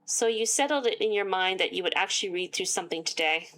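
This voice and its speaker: Woman, gamer reading on-screen story text, wry asides, tired and hoarse voice, mid-pitched. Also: fast, a bit bright, a little hiss or hum. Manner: reads game text aloud and breaks off with sarcastic asides. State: losing her voice. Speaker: gaming YouTuber. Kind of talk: reading a visual novel aloud with commentary.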